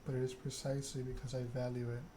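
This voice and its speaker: A man plays a proud, scheming game vampire, delivering a self-important monologue in ASMR style. The pitch is middling and very level, and clear noise sits behind the voice. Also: little pitch movement, quick pace, warm tone, close to an everyday voice. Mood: smooth, persuasive. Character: proud, scheming vampire aristocrat telling their story. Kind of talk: ASMR read-aloud of game dialogue.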